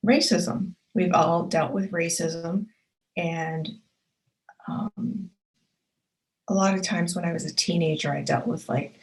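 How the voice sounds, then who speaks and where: An earnest woman delivers a motivational talk on her own show, with a slightly low voice. She stacks short, punchy affirmations and repeats key phrases for emphasis, speaking straight to the listener to encourage them. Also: a little mellow, rough recording. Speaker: author and publisher hosting her own show.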